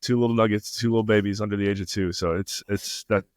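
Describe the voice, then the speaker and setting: A man's voice: lowish voice, flat delivery, quick pace, no room sound, clean studio sound. A man hosting a podcast, laid-back and chatty, full of fillers and easy laughs. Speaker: podcast host. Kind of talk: casual interview talk. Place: Toronto.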